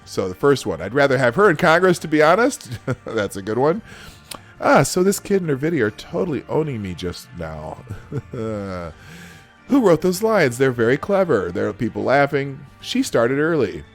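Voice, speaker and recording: A man's voice: medium pitch, big pitch swings, moderate pace. A man hosting a casual livestream, rambling and correcting himself as he reads comments aloud. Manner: rambling, self-correcting, chuckling. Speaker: livestream host. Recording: background music under voice.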